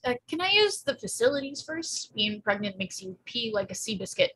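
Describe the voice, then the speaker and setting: Woman playing a bright-voiced, sarcastic teenage girl. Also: fairly high pitch, moderate pace, poor audio. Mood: cheeky. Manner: flippant, slangy, deadpan. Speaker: actor in a live table read. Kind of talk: screenplay table read.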